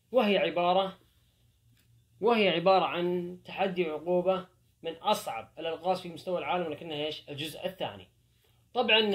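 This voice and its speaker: A young man, hosting a challenge video and chatting directly to viewers. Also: high voice. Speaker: channel host. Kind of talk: vlog challenge intro.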